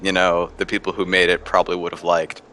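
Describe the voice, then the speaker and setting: A man's voice: low-pitched, little pitch movement, quick pace, pushed and bright. A man in casual chat about video games, rambling loosely with lots of filler words. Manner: rambling, filler-heavy with frequent "like".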